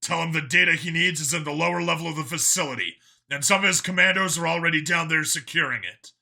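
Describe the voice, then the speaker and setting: Casting: determined sci-fi soldier played by a male narrator, forceful and bright, giving urgent orders. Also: fairly high pitch, fast, gravelly, full character voice. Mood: commanding. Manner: forceful, commanding. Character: Vincent, determined young male soldier clone, gruff and urgent. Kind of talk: audiobook character voice in science fiction story.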